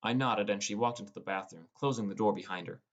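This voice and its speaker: A man's voice: lowish voice, fast talker, muffled recording. A man reading scripted first-person fantasy fiction as an audiobook narrator.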